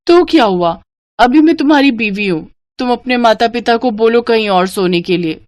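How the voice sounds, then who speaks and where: A woman's voice: on the high side, lively intonation, normal speed, no room sound, studio quality, pitched above the performer's own voice, lightly acted. A woman voicing a stubborn, pampered rich young bride in a moral-story cartoon, pleading insistently and dramatically. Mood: upset, complaining.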